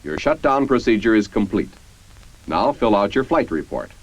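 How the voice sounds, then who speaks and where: An American man reading scripted 1950s military training-film narration in a formal, measured, instructional manner. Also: fairly low pitch, sing-song, slightly rough. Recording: dull, band-limited 1950s film soundtrack.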